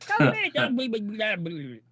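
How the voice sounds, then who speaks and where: Male podcast co-host, high lively voice, banters and swears freely. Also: measured pace. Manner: swears often, animated and jokey. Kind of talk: casual chat.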